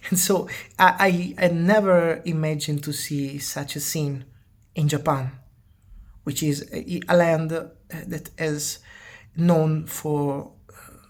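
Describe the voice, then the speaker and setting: An Italian-accented woman talks to her channel's viewers in English, her second language. Her voice sits low for a woman and she moves at an unhurried pace, pausing and repeating words as she finds the next phrase. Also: fairly even intonation, darkish tone. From Italy. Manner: hesitant, often repeating words and restarting phrases. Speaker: channel host. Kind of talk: casual spoken commentary.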